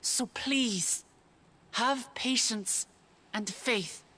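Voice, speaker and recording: A woman playing a resolute young ruler in a video game cutscene, addressing grieving people with solemn, earnest, measured oratory. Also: fairly high pitch, bright, forceful tone, husky, exaggerated voice. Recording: background music under the voice.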